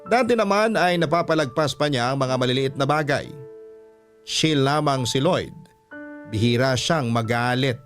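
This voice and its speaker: A man's voice: medium pitch, lively intonation, normal speed, fairly bright tone, faint music behind. A man narrating a scripted first-person story in classic radio drama style.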